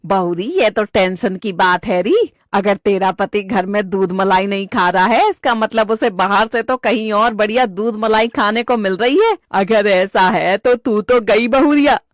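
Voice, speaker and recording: A woman's voice: mid-range voice, unhurried, no echo, clean studio sound, voice pushed down, lightly acted. Woman voicing blunt rustic North Indian mother-in-law. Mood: alarmed. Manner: scolding, earthy exclamations. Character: older village mother-in-law, blunt, bossy, fiercely supportive.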